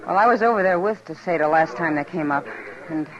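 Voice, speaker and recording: A woman's voice: lowish voice, fast talker, crowd noise, lightly acted. An actress playing a worldly, concerned frontier saloon woman in an old-time radio western. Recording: dull old radio recording. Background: music.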